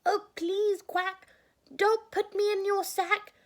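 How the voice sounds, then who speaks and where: A woman voicing a panicky female duck who pleads in a high squeaky voice. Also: little pitch movement, slow. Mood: pleading.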